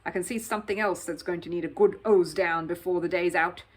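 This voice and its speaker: A woman's voice: on the low side, fairly even intonation, brisk, close to an everyday voice. A woman voicing a grumpy, suspicious male factory gatekeeper in a children's storybook, officious and scornful. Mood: dark, grumbling.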